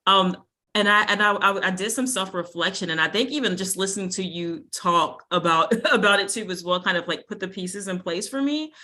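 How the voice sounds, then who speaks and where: A woman's voice: fairly low pitch, sharp tone. A woman author interviewing a fellow writer, friendly and enthusiastic, with casual fillers. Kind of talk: casual conversation.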